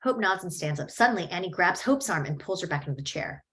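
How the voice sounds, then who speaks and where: A woman reading screenplay stage directions aloud in a plain, even way at a table read. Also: lowish voice, quick pace.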